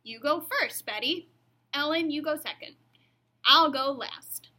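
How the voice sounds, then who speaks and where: A woman's voice: high-pitched, unhurried, pushed and bright, voice pushed up. A woman voicing a haughty, bossy young schoolgirl who is dismissive, in a children's storybook.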